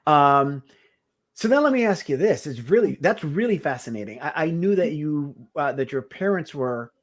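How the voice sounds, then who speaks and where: A man's voice: on the high side, expressive, moderate pace. A man from the Caribbean co-hosting a podcast, asking long, rambling questions with restarts. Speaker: podcast co-host. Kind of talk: casual interview chat.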